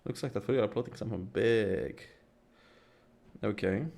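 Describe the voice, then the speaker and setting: A man's voice: fairly low pitch, normal speed, vocal fry, a little mellow. Impatient, sarcastic male game streamer. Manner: impatient, sarcastic, swears, mumbles reactions. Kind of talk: casual gameplay commentary. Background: game music.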